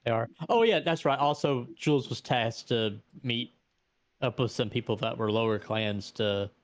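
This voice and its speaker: A man's voice: medium pitch, normal speed, no echo. A man playing a tabletop roleplay, chatting casually and hesitantly, often repeating himself as he thinks. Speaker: tabletop game player.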